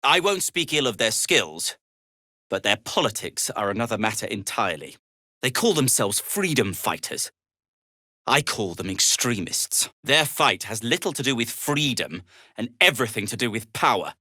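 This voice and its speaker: A world-weary little gnome from a fantasy video game. He is prim and wry, forever exasperated, phrasing things formally. His intonation swings widely at a medium pitch, and the sound is very dry. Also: very animated, normal speed, bright, forceful tone, dry recording, exaggerated voice. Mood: grave, worried. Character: fussy, sardonic, world-weary male gnome. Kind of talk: video game character dialogue.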